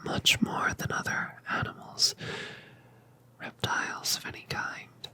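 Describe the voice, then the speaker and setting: A woman voicing a colossal, tender mother dragoness in a whispered ASMR roleplay, speaking softly and soothingly. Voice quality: breathy whisper. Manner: soft, slow, soothing.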